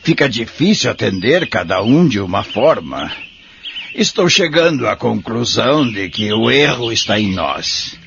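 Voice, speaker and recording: A Brazilian man playing a wise, patient old man who gently admonishes his friend in an audio drama. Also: on the low side, big pitch swings, unhurried, creaky, heavily acted. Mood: calm, admonishing. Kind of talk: audio drama dialogue, measured moralizing. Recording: muffled recording with faint noise.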